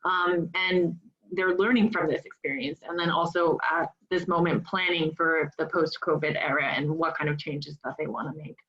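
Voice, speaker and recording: A woman moderating an online panel discussion over a video call, measured and encouraging, often affirming the speakers. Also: low-pitched, level tone, a bit bright. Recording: slightly dull lo-fi call audio.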